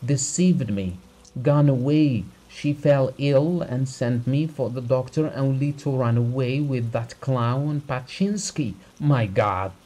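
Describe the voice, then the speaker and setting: A man voicing a distraught, betrayed gentleman in a dramatized audiobook, crying out in anguished, repeated exclamations. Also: medium pitch, takes it slowly, pitched above the performer's own voice, poor audio.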